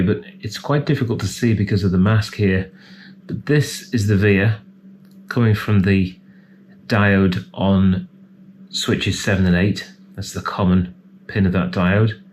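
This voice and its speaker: Low-voiced man thinking aloud, noisy background. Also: steady tone, moderate pace, darkish tone. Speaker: electronics repair technician presenting a repair. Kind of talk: casual hands-on repair commentary.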